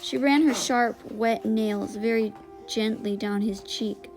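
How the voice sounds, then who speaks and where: A woman's voice: a little high, fairly even intonation, takes it slowly, darkish tone, soft background music, low-quality recording. A woman narrator reading a children's novel aloud in audiobook style.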